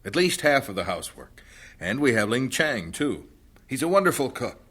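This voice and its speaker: An actor playing a kind, steady young missionary who talks in a calm, reassuring way. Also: male voice, mid-range voice, moderate pace, husky. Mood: calm, persuasive.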